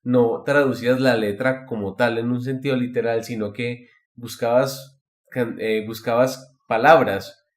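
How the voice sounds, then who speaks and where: A man hosting a casual podcast chat about anime, explaining things in a rambling, halting way with repeated words. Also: medium pitch, steady tone. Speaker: podcast host.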